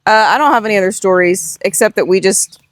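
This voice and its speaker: A woman's voice: mid-range voice, fast talker, no room sound, clean studio sound. A woman chatting casually as a parent co-hosting a family vlog. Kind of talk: casual chat.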